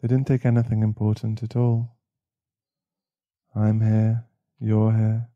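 A man playing a caring, protective boyfriend in an intimate ASMR roleplay, speaking softly and soothingly with halting pauses. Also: on the low side, completely flat delivery, no room sound, highs cut off. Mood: reassuring, loving. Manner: soft, soothing, halting, sighing. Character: caring, protective, shaken boyfriend comforting a partner. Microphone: close mic.

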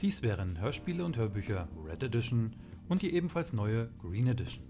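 A man's voice: fairly low pitch, sing-song, brisk. A man hosting an audio drama channel, giving a friendly, formal scripted announcement to listeners. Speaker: channel host. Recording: voice over loud music.

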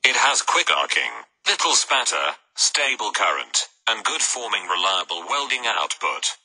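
A man reading a scripted product voice-over in a measured, even way. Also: medium pitch, gravelly, slightly rough, rough recording. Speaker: product narrator.

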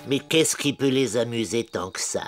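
A man's voice: medium pitch, normal speed, music in the background, lightly acted. A man voicing a sly, bossy, mischievous goblin schemer in a children's cartoon, with theatrical delivery. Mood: suspicious. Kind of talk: animated children's cartoon dubbing.

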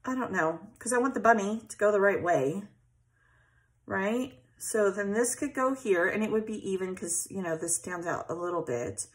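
A woman's voice: mid-range voice, lively intonation, moderate pace. A woman hosting a casual scrapbooking video, chatting and thinking aloud as she arranges pieces at her craft table. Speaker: scrapbooking channel host. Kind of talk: casual craft tutorial chat. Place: craft table at home, overhead camera on hands.

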